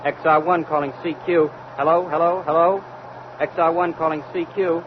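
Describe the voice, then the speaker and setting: Guy acting young rocket radio man, respectful n hesitant, slightly high voice, dull old radio recording. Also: fairly even intonation, normal speed, close to an everyday voice. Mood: urgent, repetitive. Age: young adult. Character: young, earnest, deferential physicist and rocket radio operator. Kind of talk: vintage American radio drama acting. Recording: muffled vintage radio recording, loud hiss, rumble.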